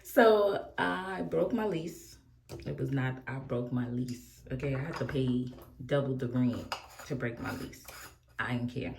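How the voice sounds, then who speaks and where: A woman's voice: rumbling low, a little slow, vocal fry, darkish tone, a little hiss or hum. A woman in her forties chatting candidly while doing her makeup, rambling and laughing often. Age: 40s. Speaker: beauty vlogger. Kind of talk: casual get-ready-with-me chat. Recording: echoey room.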